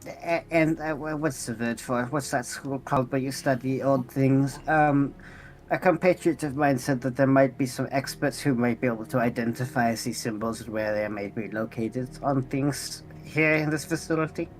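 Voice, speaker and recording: A man voicing a polite, earnest robot adventurer with lost memory in a tabletop game, speaking formally and haltingly. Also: medium pitch, fairly even intonation, close to an everyday voice. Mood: hesitant, courteous.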